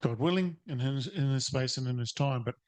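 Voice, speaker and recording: A man's voice: medium pitch, fairly quick. Hesitant New man asking a question. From New Zealand. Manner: hesitant, lots of fillers and restarts. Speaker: teacher asking a question at a conference. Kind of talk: audience question at a seminar. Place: seated in a conference or webinar room.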